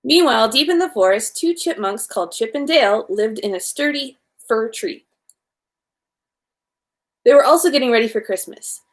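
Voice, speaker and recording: Female storyteller, higher-pitched voice, informal read-aloud of a children's bedtime tale, slightly unpolished delivery. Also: animated, moderate pace.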